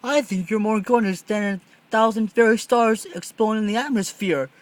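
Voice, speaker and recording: A man voicing a lovesick, excitable boy genius in a melodramatic, earnest way for a storybook read-along. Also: high-pitched, normal speed, voice pushed up, lightly acted. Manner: melodramatic, gushing, urgent.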